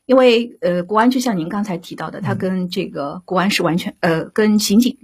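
Husky woman director explaining her work. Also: medium pitch. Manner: hesitant, halting, searching for words. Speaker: radio drama director being interviewed. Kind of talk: unscripted interview talk.

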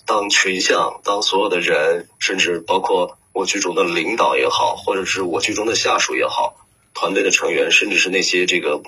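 Very deep, bright, rough-voiced man. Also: normal speed, poor audio. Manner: hesitant, searching for words. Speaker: voice actor, guest. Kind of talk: reflective interview answers.

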